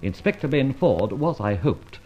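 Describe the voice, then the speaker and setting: An actor playing a debonair, breezy gentleman adventurer in an old radio serial, with brisk, clipped, theatrical speech. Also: mid-range voice, very animated. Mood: wry, self-satisfied. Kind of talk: old radio serial acting and first-person narration. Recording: dull vintage radio recording, music behind.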